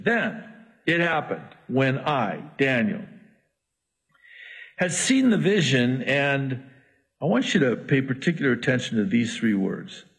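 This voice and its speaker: A middle-aged man whose first language is Arabic, preaching through scripture with lively asides. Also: medium pitch, gravelly, husky, low-quality recording. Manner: animated, jumping from scripture reading to asides. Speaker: pastor. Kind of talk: expository sermon.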